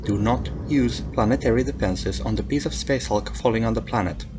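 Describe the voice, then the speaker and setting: A man's voice: mid-range voice, moderate pace, close to an everyday voice. A man voicing a stern alien military supreme commander who delivers a formal, clipped, authoritative message in a dramatic reading. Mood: grave, commanding. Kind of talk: dramatic radio transmission reading. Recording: noisy hum behind a transmission.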